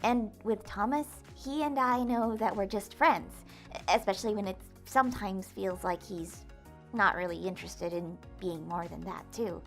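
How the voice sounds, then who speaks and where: A woman playing a modest, gentle and slightly defensive young woman, hesitant and earnest, in an audio drama. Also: medium pitch, normal speed, faint music behind, close to an everyday voice.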